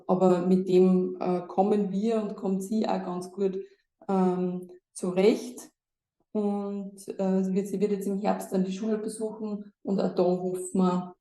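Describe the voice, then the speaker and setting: A woman, a mother sharing her family's experience in a recorded testimony, pausing often with fillers. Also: on the low side, mellow, echoey room, low-quality recording. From Austria. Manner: hesitant, many fillers. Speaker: parent of a patient.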